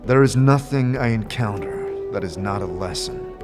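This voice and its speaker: Man voicing a low, solemn wandering adventurer. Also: expressive, moderate pace, over loud music, close to an everyday voice. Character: terse, solemn male wandering adventurer taking an oath.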